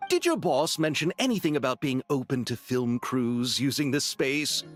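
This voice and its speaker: A man's voice: medium pitch, animated, normal speed, faint music behind, natural voice. An actor playing a polite, businesslike man from a film crew in a video game. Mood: polite, inquiring.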